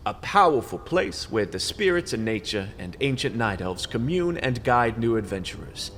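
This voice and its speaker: A man's voice: medium pitch, big pitch swings, normal speed, fairly bright tone, slight background noise. Man playing a brooding, wry goblin noir detective. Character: world-weary goblin male noir detective, semi-retired. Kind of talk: hardboiled radio play narration and dialogue.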